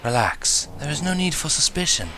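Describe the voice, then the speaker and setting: A man voicing a large female alicorn princess who speaks in a calm, formal, reassuring manner. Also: a little high, moderate pace, background noise, voice pushed up.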